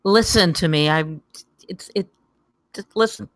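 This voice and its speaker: A woman's voice: fairly low pitch, unhurried, dry recording. A woman playing a blunt, unimpressed, businesslike handler in a comedy table read, with terse delivery. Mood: exasperated.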